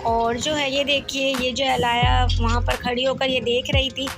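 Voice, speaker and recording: A woman's voice: high voice, flat delivery, normal speed. A woman in her thirties with a Karachi Urdu accent, narrating a family mall outing in a chatty voice-over full of fillers. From Pakistan. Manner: chatty, leans on filler "jo hai" constantly. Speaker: vlogger. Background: music.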